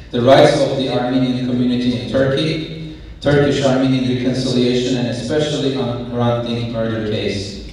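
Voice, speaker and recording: A man's voice: medium pitch, a little mellow. A man giving a formal, scripted introduction of a guest from a podium. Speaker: event speaker introducing a guest. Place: large banquet hall stage. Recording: very echoey hall, distant, faint noise.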